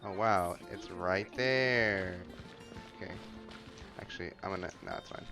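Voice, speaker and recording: Low, slow offhand gamer commentary. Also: over music. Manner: offhand, wry asides. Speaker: game streamer commenting on playthrough. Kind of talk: casual gameplay commentary.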